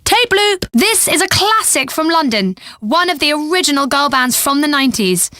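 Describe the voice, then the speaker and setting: A woman's voice: high-pitched, lively intonation, moderate pace, bright, forceful tone, no echo, studio quality. A woman playing a flirty, upbeat pop radio DJ in a video game, with slick, playful, teasing song introductions.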